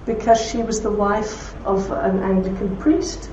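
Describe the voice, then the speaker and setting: A woman with a broad Belfast accent speaking to an audience, telling anecdotes with warmth and laughter. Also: mid-range voice, little pitch movement, measured pace, a little hiss or hum. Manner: warm anecdotal storytelling with laughs. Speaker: speaker at a talk. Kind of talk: talk with audience questions. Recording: roomy hall from far off, dull.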